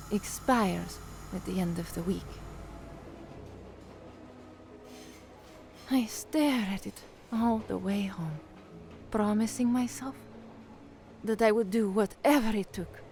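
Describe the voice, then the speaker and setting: A woman's voice: medium pitch, mellow, close to an everyday voice. Audio drama lead: actress as young female newsreader, earnest and hopeful, medium register, underscored by music. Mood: wistful, determined. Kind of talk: audio drama acting, newsreading and first-person narration.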